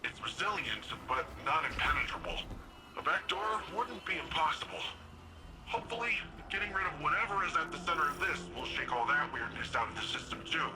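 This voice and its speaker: An actor playing a breezy, upbeat young futuristic city defender in a video game, talking in a casual, chatty way. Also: male voice, a little high, very animated, fairly quick. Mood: concerned, casual. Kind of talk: video game character dialogue. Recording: noisy game cutscene audio.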